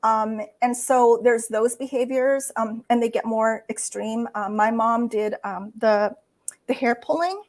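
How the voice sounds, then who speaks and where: Woman, middle-aged, fairly high voice, earnest, lots of fillers and restarts, casual interview guest. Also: unhurried. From United States. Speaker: guest writer.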